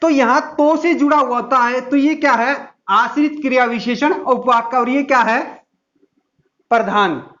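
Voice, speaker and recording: A man's voice: extremely high pitch, measured pace, fairly bright tone. A man teaching a grammar lesson, dictating examples word by word and asking and answering his own questions. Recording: dull lo-fi sound.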